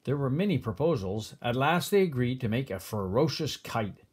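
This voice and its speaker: An older man reads a children's picture book aloud as a grandfather would, with expressive, deliberate phrasing. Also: mid-range voice, slightly rough. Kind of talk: storybook read-aloud.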